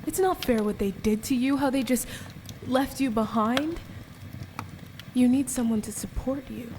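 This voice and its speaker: An actress playing a resolute, wronged captive goddess in a radio play, speaking with earnest, deliberate intensity. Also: female voice, on the high side, background noise, close to an everyday voice. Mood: gentle, earnest. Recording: music behind the voice.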